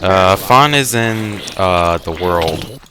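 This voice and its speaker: A man's voice: deep voice, expressive, slow, background noise. A man chatting casually while gaming, with a playful, teasing, joking way of talking over online voice chat. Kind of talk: casual chat during online game play.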